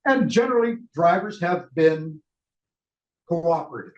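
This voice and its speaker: An older man leading a casual cycling training session, speaking loudly and chatting with the group. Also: a little high, animated, unhurried. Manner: loud, chatty, laughs between points. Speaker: ride leader trainer. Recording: roomy, dull lo-fi room recording.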